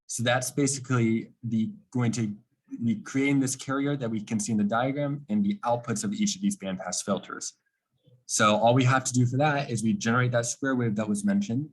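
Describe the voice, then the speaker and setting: A man with a slightly husky voice giving an informal technical explanation, like a student presenting a project, posing questions and then answering them. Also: on the low side, low-quality recording.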